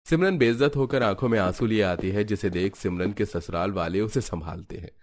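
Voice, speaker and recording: A man's voice: lowish voice, fairly quick, slight background noise. A man reading scripted narration for a cartoon family story as its narrator.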